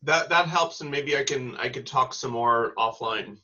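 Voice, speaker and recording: A man asking a question on a video call, measured and polite. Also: mid-range voice, pushed and bright. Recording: dull video call audio.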